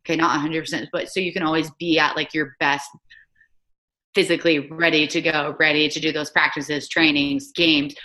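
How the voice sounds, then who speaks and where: A woman's voice: sits low, flat delivery, moderate pace, sharp tone. A young woman in her twenties, a former soccer player, chatting casually as a guest over a video call, with lots of "like" fillers. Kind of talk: casual remote interview.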